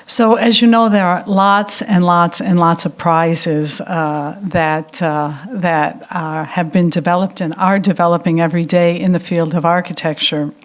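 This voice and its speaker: American woman, lecturer, fairly low voice, measured delivery, filler ums. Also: steady tone. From United States. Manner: measured, with frequent ums and uhs. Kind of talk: public lecture.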